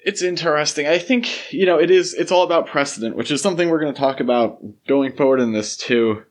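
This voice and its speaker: A man's voice: fairly high pitch, fairly quick. A man hosting a podcast, discussing film in a casual but detailed, explanatory way. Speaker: podcast host.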